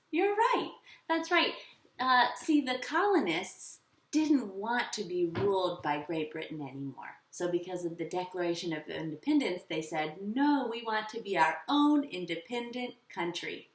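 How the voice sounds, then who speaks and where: A woman teaching children, instructive and encouraging, posing questions and pausing for answers. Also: medium pitch, animated. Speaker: elementary school teacher. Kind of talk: children's lesson with questions and pauses. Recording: roomy echo, slightly distant mic.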